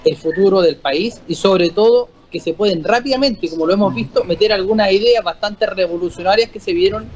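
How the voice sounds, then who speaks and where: A man with a Chilean accent, a guest on a political panel, arguing his view in a measured way with frequent restarts. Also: sits high, darkish tone. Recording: slightly muffled audio with faint music.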